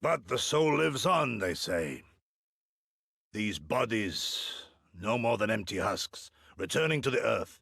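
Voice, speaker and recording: An actor playing an earnest, proud samurai in a video game, speaking formal archaic lines with dramatic pauses. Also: male voice, on the low side, animated, gravelly, a bit bright, breathy edge, dry recording, studio quality, heavily acted. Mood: reflective, wry. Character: earnest, theatrical samurai warrior, proud and inquisitive.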